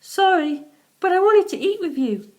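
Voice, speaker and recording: Narrator putting on a high, gentle ghost girl. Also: lively intonation, normal speed. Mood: apologetic. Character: gentle young woman ghost, soft-hearted, reassuring.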